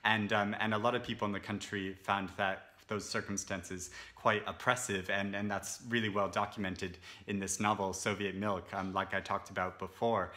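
A man's voice: lowish voice, flat delivery, normal speed, hardly any pauses, bright, forceful tone. A man in his thirties talking casually to a camera from a sofa, rambling with many fillers and restarts. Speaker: book vlogger. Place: indoors, seated on a sofa.

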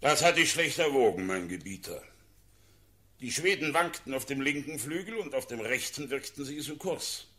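An actor playing a loyal, blunt old cavalry colonel in a classical verse radio drama, deferential yet declamatory. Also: male voice, mid-range voice, lively intonation, normal speed, bright, forceful tone. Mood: bold insistence. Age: older.

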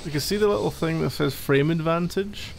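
Guy acting as needy gamer boyfriend asmr, higher voice w lots of fry, halting, playfully flustered. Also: noisy background. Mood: casual explaining. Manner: halting, teasing, mumbly asides while playing a game. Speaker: ASMR roleplay performer. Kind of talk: ASMR boyfriend roleplay, improvised-sounding casual chat.

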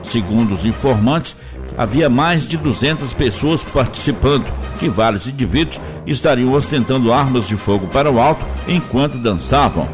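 Man, Brazilian accent, news announcer, scripted narration, mid-pitched voice, clear music bed. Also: expressive, moderate pace. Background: music under the voice.

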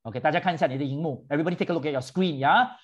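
A fairly high-voiced man hosts a virtual tour in an animated, chatty way. He cuts in on his guest and switches between English and Mandarin as he explains things. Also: racing, breathy edge, muffled recording. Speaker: host. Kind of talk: bilingual virtual tour chat for seniors.

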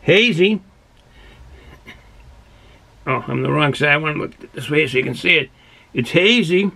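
A man's voice: on the high side, expressive, measured pace, vocal fry, bright, forceful tone. A man in his sixties from Boston with a thick Boston accent, chatting casually and rambling a little as he reviews for the camera. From Boston, United States. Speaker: beer reviewer. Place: small cluttered indoor hobby room.

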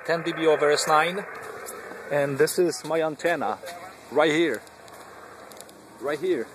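A man's voice: a little high, unhurried. A man operating amateur radio from a pickup truck, repeating his phrases, recorded on a handheld device. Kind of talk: radio callsigns and excited handheld vlog commentary. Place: inside a pickup truck at night. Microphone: handheld camera or phone. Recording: noisy vehicle cabin with radio static.

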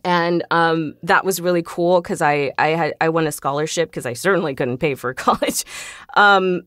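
Female podcast guest, lower register, casual and self-deprecating storyteller, very dry room. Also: normal speed, a bit bright, no room sound. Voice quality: trained singer. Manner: informal, self-deprecating, often laughing. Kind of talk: casual interview chat, personal anecdotes.

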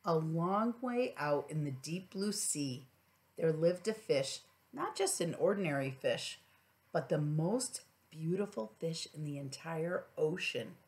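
A woman's voice: sits low, lively intonation, unhurried. Middle-aged woman reading a children's story. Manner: gentle questioning asides to young listeners. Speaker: preschool teacher. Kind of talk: children's storybook read-aloud with asides.

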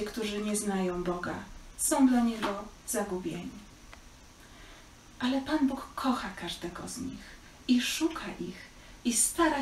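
Slow-speaking woman teacher in an echoey room. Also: mid-range voice. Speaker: children's Bible teacher. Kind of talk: scripture reading and teaching for children. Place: small living room, indoors. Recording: echoey room, slightly distant, faint noise.

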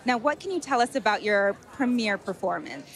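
A woman's voice: mid-range voice, animated, fairly quick. A woman hosting red carpet interviews, introducing guests with an upbeat presenter delivery. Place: outdoor red carpet at an awards show.

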